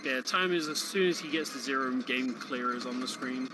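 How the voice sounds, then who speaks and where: A man's voice: mid-range voice, a little fast, background noise. A man giving casual co-commentary on a video game, explaining things in a conversational way. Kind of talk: casual live gaming commentary.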